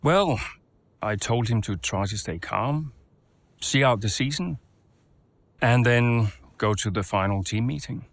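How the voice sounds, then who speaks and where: An actor playing a calm, seasoned male race team mentor, talking in a measured, reflective way in a game cutscene interview. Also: medium pitch, natural voice. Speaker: new team principal.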